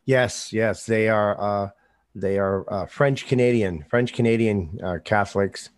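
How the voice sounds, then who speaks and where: A low-pitched man from the American Midwest, hosting an interview in a relaxed, halting way. He searches for words with plenty of fillers, and his sentences fade off softly. The recording is dry and close, with no room sound. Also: no room sound. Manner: halting, with many fillers, trailing off quietly. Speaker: podcast host. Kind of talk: casual interview chat.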